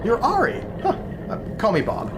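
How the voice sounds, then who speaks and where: A man's voice: very high voice, wildly swooping pitch, normal speed, creaky, background noise, heavily acted. A man playing a bewildered, self-doubting everyman hero in a comedic fantasy audio drama, casual and emotive. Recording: music bed under voice.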